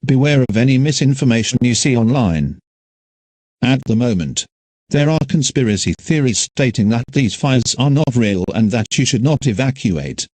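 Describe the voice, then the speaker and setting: A man reads a scripted emergency alert as an announcer in a formal, measured way. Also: mid-range voice, expressive, darkish tone, no room sound.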